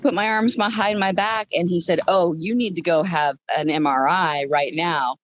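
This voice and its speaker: A woman in her fifties with a lowish voice, talking as a podcast guest. She tells her story conversationally and repeats phrases for emphasis. The audio is somewhat dull and roomy. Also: normal speed. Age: 50s.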